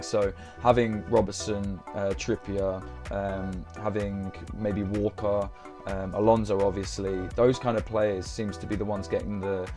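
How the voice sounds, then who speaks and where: Young man, low mellow voice, a bit croaky; casual, halting live-stream chat. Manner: hesitant, many ums and uhs. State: croaky voice from a sore throat. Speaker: fantasy football streamer.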